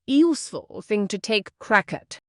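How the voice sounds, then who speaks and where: Female reader, lively melody, dry recording, scripted exam practice delivery. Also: mid-range voice, a little slow, dry recording.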